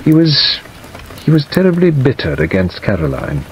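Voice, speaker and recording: A man voicing a weak, hesitant middle-aged gentleman in an audiobook, plaintive and wistful. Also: mid-range voice, pitched above the performer's own voice. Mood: sorrowful, confiding. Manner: hesitant, halting, self-qualifying. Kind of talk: dramatised audiobook reading. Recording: dull audio under steady rain noise.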